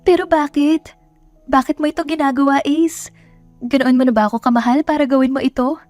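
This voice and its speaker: A woman's voice: sits high, steady tone, a little fast, darkish tone, no echo, faint music behind, voice pushed up, natural voice. A woman voicing a sweet, naive young provincial woman in a romance audio drama, earnest, timid and full of questions. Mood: nervous, touched.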